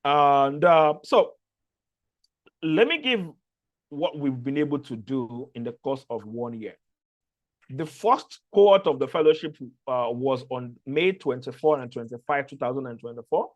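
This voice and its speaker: A man's voice: medium pitch, lively intonation, unhurried, poor audio. A man from Nigeria with a Nigerian accent, English not his first language, giving an earnest onboarding talk to a fellowship cohort with frequent restarts. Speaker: fellowship organizer.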